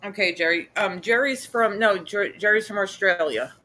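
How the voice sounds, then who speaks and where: A woman's voice: mid-range voice, moderate pace, bright, forceful tone. A woman hosting a casual live hangout, chatting informally. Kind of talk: casual chat. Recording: clear background noise.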